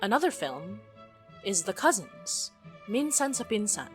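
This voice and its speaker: Woman announcer reading serious scripted info, formal n deliberate, varied intonation, soft music behind. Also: medium pitch, sing-song. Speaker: podcast announcer. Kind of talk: scripted informational narration.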